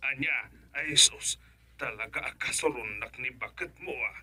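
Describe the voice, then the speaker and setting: A man's voice: mid-range voice, lively intonation, unhurried, pushed and bright, breathy edge, dry recording, heavily acted. A man acting a money-obsessed, scheming village husband in an Ilocano radio comedy drama, boastful and cajoling, with an Ilocano Filipino accent. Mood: sly, cajoling.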